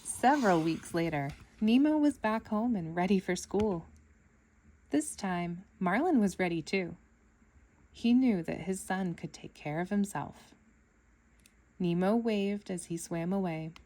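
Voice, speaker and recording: Lady reading a storybook out loud for kids, expressive narrator. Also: mid-range voice, unhurried. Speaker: storyteller reading a children's book aloud.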